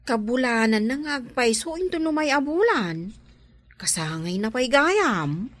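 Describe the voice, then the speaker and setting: An actress playing an older sister who gives concerned advice, in a radio drama. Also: female voice, a little high, very animated, unhurried, a bit bright, no echo, exaggerated voice.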